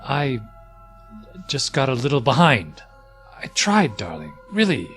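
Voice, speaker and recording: A man's voice: mid-range voice, wildly swooping pitch, a little slow, fairly bright tone, soft background music. An actor playing a weary, frightened husband and father in an audio drama, pleading and defensive.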